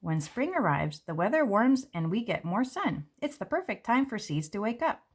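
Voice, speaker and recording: Gentle woman reading a picture book. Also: medium pitch, sing-song, normal speed, breathy edge. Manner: gentle, explanatory, with light fillers. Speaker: children's book author reading her own book. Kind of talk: read-aloud and book walkthrough. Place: indoors at a table.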